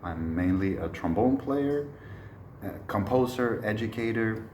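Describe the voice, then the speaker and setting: A man in his thirties from Sweden, a musician giving a relaxed spoken masterclass with frequent pauses to gather his thoughts. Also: on the low side, reverberant, a little hiss or hum. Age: 30s. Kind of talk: informal spoken lecture.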